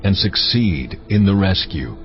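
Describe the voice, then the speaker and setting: A man playing God in an audio Bible drama, calm, authoritative, majestic and assured. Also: low-pitched, unhurried. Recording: dull audio with clear music behind.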